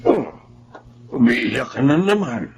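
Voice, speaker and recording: Gruff, creaky old father. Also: medium pitch, big pitch swings, slow pace, background noise, exaggerated voice. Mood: scornful. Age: older. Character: gruff, cynical old father and grandfather, nagging. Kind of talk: radio melodrama acting.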